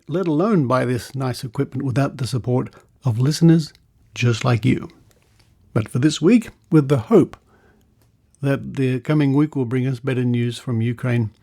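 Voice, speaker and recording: Male podcast host, middle-aged, Australian accent, mid-pitched voice, chatty and informal delivery. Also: mellow. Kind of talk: casual podcast presenting.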